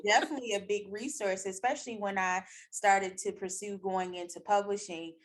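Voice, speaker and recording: A woman's voice: mid-range voice, fairly even intonation, normal speed. Chatty woman hosting an interview. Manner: chatty, repeats words, laughs while talking. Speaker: host. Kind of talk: casual interview chat.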